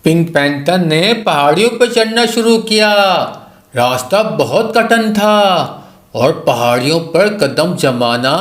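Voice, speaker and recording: Male children's storyteller, high voice, slow deliberate delivery, roomy echo. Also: a bit bright. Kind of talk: scripted children's story narration.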